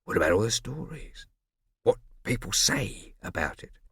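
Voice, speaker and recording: A man's voice: fairly low pitch, sing-song, moderate pace, vocal fry, sharp tone, husky, no room sound, full character voice. A man voicing a burly, menacing red-bearded bully who sneers and roars. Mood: amazed. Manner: sneering, roaring, blustering. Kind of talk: audiobook character voice.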